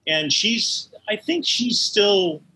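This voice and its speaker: Male animator guest, bright forward voice on the higher side, measured recollections, slight background noise. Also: lively intonation. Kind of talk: reflective interview conversation.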